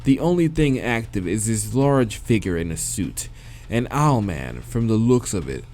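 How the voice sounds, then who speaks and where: A man's voice: fairly low pitch, animated, a little slow, a little mellow. A man reading a visual novel aloud as dramatic narration, with casual, exasperated asides.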